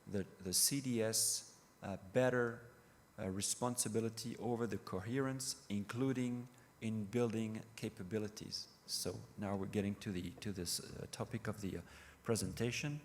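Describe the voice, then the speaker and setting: Middle-aged French-accented man, lowish voice, hesitant conference talk in a reverberant hall. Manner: halting, many fillers and restarts. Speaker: panel speaker. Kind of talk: conference presentation. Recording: reverberant hall with faint noise.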